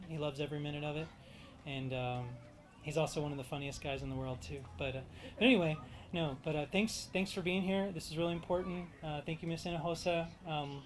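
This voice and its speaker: A man in his thirties giving a public speech at an outdoor park event into a stand mic, halting, with many fillers and restarts. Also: on the high side, background noise. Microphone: handheld mic on stand. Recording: faint outdoor ambience.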